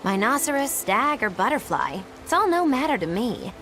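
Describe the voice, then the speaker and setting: Uma atriz interpretando uma bruxa excêntrica e teatral, de tom zombeteiro. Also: female voice, a little high, very animated, normal speed, a bit bright, faint music behind. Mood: teasing. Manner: theatrical, mocking. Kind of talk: game dub acting.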